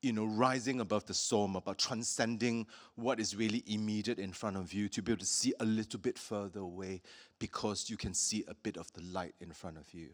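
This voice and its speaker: A man from Singapore hosting a public talk with an artist, speaking thoughtfully with many pauses and fillers. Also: lowish voice, fairly even intonation. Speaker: host.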